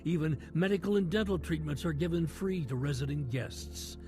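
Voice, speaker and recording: A man's voice: fairly high pitch, moderate pace. Solemn male radio announcer. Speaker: announcer and narrator. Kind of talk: scripted radio narration. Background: music bed.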